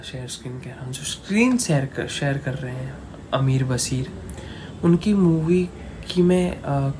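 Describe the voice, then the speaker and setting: A man with an Indian accent reviewing a film off the cuff as a channel host, halting and repeating himself, dropping English phrases into Hindi. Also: fairly high pitch, a little mellow. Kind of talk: unscripted movie review to camera. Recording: clearly noisy, ambient background noise.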